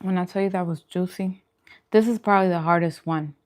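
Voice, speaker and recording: A woman's voice: on the low side, fairly even intonation, brisk, a little mellow. A woman hosting a mukbang, chatting casually to viewers between bites with murmured reactions. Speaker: mukbang host. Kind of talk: casual eating chat to viewers.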